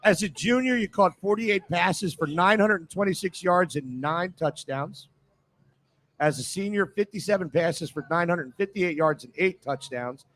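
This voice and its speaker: A man's voice: high voice, moderate pace, fairly bright tone. A man with an American accent hosting a casual sports interview, joking and bantering as he asks questions. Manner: jokey, bantering. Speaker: show host. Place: live show at a casino.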